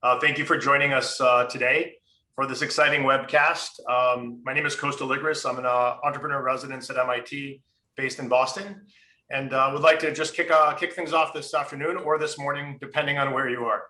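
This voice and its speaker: Bright-voiced male webcast host in echoey room. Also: medium pitch, steady tone, fairly quick. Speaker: webcast host, entrepreneur in residence. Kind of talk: formal welcome and introduction. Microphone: video call. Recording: roomy, echoey webcast audio.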